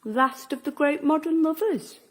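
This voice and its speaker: An actress playing a stubborn, sharp-tongued Yorkshire woman in an audio drama, with a blunt, salty way of talking. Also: high-pitched, a little slow. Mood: quiet, wistful.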